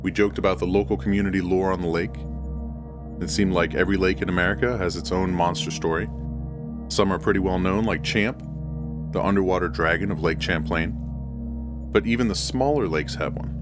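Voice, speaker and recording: Male horror narrator, very low register, deliberate first-person storytelling, clear music bed.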